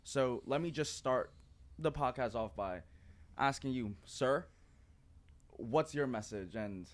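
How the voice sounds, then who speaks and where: A man's voice: mid-range voice, lively intonation, slow. A man hosting a podcast, opening the show upbeat and asking his guest curious follow-up questions. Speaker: podcast host. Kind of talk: casual podcast chat.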